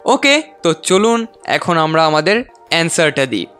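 A man's voice: fairly high pitch, sing-song, moderate pace, sharp tone, clear, resonant tone. A man, presenting a scripted tech tutorial to viewers, close on a studio microphone. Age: 20s. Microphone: close studio boom microphone. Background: faint background music.

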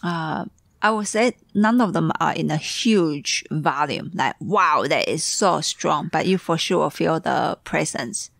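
Tired gravelly-voiced woman. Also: deep voice, lively intonation, fairly bright tone, dry recording, clean studio sound. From Taiwan. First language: Taiwanese. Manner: halting, searching for words, giggly. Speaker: tea teacher. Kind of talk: guided tea tasting.

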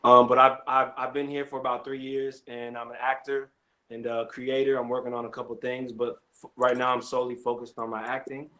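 A young adult man from Kenya, an actor guest, telling his story in a relaxed, slangy way. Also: medium pitch, fairly quick. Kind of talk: casual interview chat.

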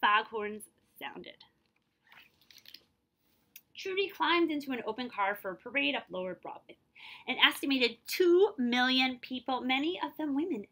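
A woman in her forties reads a storybook aloud in a bright, fairly high voice. Her delivery swings widely in pitch as she narrates. She steps out of the text to explain things plainly and check that listeners follow. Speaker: librarian reading a picture book aloud. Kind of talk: animated storybook read-aloud with explanatory asides.

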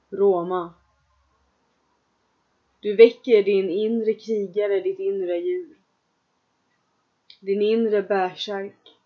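A woman leading a guided trance meditation, giving calm, slow instructions in the second person with long pauses. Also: mid-range voice, lo-fi sound.

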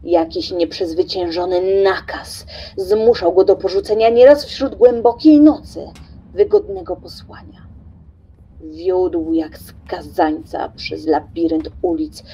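Husky, mellow woman narrator, very expressive. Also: mid-range voice, takes it slowly, poor audio. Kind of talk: dramatic scripted literary narration.

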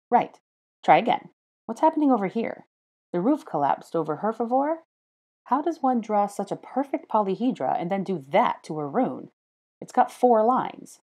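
A woman voicing a brisk, bossy, clever young woman teacher, crisp and lecturing. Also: medium pitch, mellow, voice pushed up.

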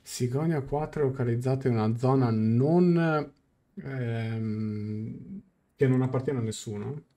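A young man streaming a game, translating dialogue aloud in a halting, casual reaction. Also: medium pitch, dark tone. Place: small room indoors, on webcam.

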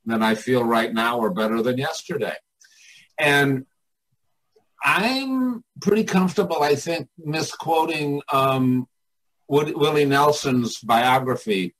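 An older man, a coach and mentor, talking casually and reflectively in a candid way with pauses. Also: medium pitch, husky, low-quality recording.

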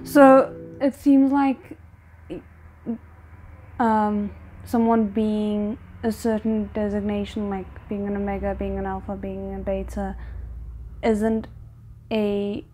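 A woman's voice: medium pitch, steady tone, takes it slowly, long pauses, dark tone, slight background noise. A woman in her twenties giving casual, candid and emphatic reaction commentary in a bedroom. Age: 20s. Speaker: reaction video host. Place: small bedroom indoors.

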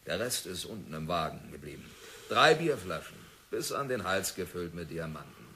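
A stubborn prospector who will not give up, gruff and emphatic. He speaks in a fairly low voice, in the manner of a 1960s radio play. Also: sing-song, normal speed, sharp tone, heavily acted. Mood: triumphant. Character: stubborn desperate male prospector, defiant. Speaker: lead character. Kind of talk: 1960s radio drama acting.

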